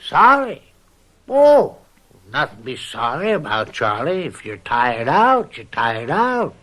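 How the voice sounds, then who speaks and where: An actor playing a leering, domineering older farm uncle in a radio drama, with a folksy, mock-friendly needling manner. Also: male voice, on the high side, huge pitch swings, slow pace, a bit bright, exaggerated voice.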